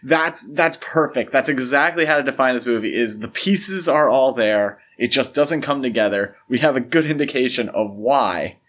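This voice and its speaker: Man, podcast co-host, average pitch, casual film talk, explains and analyses. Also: normal speed, hardly any pauses, fairly bright tone.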